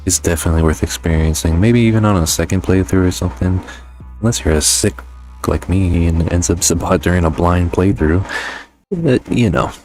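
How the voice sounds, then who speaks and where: A man's voice: sits low, brisk, darkish tone, soft background music. A man giving a casual, conversational video game review voice-over in slangy, sometimes profane language.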